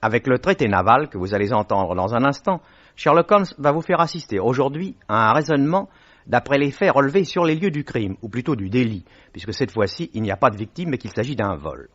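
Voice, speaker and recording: A man giving a formal, measured, articulate introduction to a radio drama. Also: mid-range voice, expressive, a bit bright. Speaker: presenter. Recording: dull lo-fi old radio recording.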